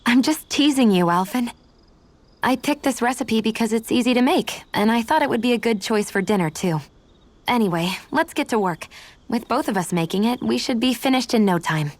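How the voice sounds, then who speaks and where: A woman playing a composed, quietly affectionate young adventurer in a video game, with a gently teasing manner. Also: fairly high pitch, animated, moderate pace, dry recording. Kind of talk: video game cutscene acting.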